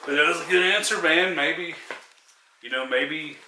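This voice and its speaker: A man's voice: on the high side, moderate pace, sharp tone, reverberant, far from the mic, slight background noise, rough recording. A man interviewing a guest casually, repeating affirmations and encouraging him.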